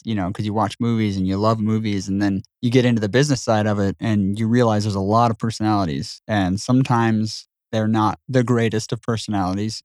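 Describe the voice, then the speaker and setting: A man's voice: on the low side, no echo, clean studio sound. A man hosting a podcast, chatting casually and agreeably with his guest. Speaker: podcast host.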